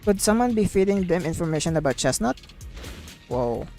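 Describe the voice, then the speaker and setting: Female game streamer, low-ish voice, excitable and gushing, reads dialogue aloud over prominent background music. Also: moderate pace. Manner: gushing and excitable, exclaims and repeats herself. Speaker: streamer playing a video game.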